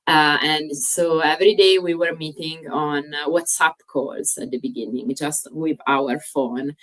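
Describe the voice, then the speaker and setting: A woman in her thirties from Italy with an Italian accent, a fitness coach talking as an interview guest, pausing often on "uh" and using slightly non-native phrasing. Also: low-pitched, steady tone, rough recording. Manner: frequent "uh" pauses, slightly non-native grammar. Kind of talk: casual interview chat.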